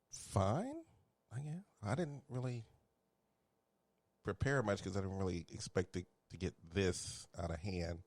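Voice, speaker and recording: A man from Houston hosts a loose, casual podcast, laid-back and quick to laugh at his own jokes. His voice sits on the low side. It is recorded close and dry, with no room sound. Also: no room sound. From Houston, Texas. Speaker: podcast host. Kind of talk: casual chat.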